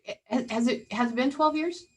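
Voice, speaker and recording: A woman's voice: sits high, fairly quick, slight background noise. A woman leading an interactive online real estate training, chatty and teasing, often asking the group questions over a video call.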